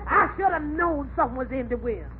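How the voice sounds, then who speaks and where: A woman playing a bossy, sharp-tongued older mother-in-law in old radio comedy, with emphatic delivery. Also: high-pitched, animated, a little fast. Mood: knowing, disapproving. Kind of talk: 1940s radio comedy acting. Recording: noisy, tinny old radio recording.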